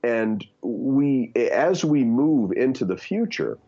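A man's voice: medium pitch, very animated, slow, warm tone, dull audio. A man in his fifties giving candid opinions in conversation, earnest, with many fillers and restarts. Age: 50s. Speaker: guest.

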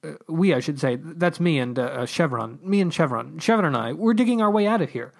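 Man acting a high, animated, wordy underworld escapee. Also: a little fast, gravelly. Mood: flustered. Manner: rambling, mock-formal, exclamatory. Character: flustered, chatty, wordy male underworld escapee.

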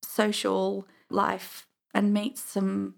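A woman's voice: medium pitch, monotone, drawn-out words, creaky. A woman in her thirties with an English accent, chatting casually and telling stories as an interview guest. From England. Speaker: podcast guest.

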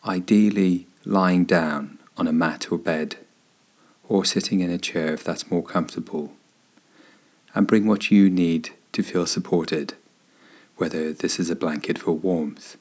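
A man with a low, husky voice leads a guided meditation. He gives gentle, soothing instructions and leaves unhurried pauses between phrases. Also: fairly even intonation. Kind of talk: guided body scan meditation narration.

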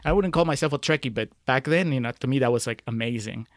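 A middle-aged man from Peru talks casually as a guest, in a mid-pitched voice. He wanders through his thoughts with plenty of hesitation, and the sound is dry, with no room to it. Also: fast talker, no echo. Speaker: podcast guest. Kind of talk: casual chat, reminiscing.

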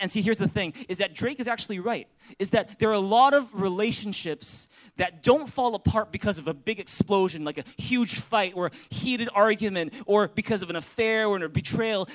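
A man from Canada, a pastor, preaching an earnest, conversational sermon in a church and calling on the congregation to answer. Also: high-pitched. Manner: earnest, conversational preaching with audience call-and-response. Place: church sanctuary.

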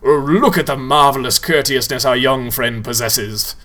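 A man doing a pompous, mocking tall ghoul. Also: bright, forceful tone. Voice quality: deep, slow and mock-courtly.

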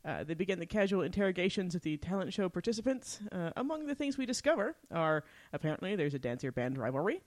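A woman's voice: on the low side, sing-song, fast, hardly any pauses, darkish tone. A woman co-hosting a podcast, chatting casually through a TV recap and often laughing as she talks. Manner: giggly, frequently laughing while talking. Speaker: podcast co-host. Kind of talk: casual TV episode recap chat.

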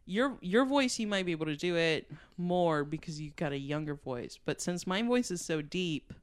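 A woman and voice actor chatting casually, animated and swearing freely, acting out her own reactions. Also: lowish voice, normal speed. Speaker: voice actor, co-host. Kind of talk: casual chat.

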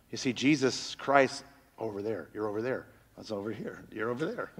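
Loud, expressive pastor preaching in an echoing hall. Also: male voice, medium pitch, husky. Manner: swings from loud cries to hushed, near-whispered lines. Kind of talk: sermon. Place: church. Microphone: microphone.

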